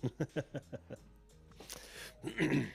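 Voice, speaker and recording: A man's voice: fairly high pitch, very animated, very slow, vocal fry, a little mellow, soft background music. An American man hosting a call-in show over a video call, chatting casually, often laughing, trailing off and restarting.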